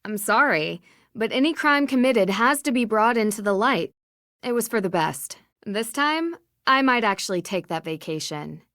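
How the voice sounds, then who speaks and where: A woman's voice: a little high, expressive, normal speed, fairly bright tone, no echo, studio quality. A young woman voicing a level-headed, polite, earnest female detective in a video game. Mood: firm, composed.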